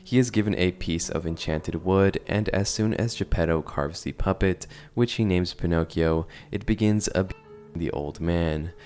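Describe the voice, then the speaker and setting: Very deep-voiced man giving commentary. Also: normal speed, a little mellow, soft background music. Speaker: video narrator and reviewer. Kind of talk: casual analytical commentary on horror videos.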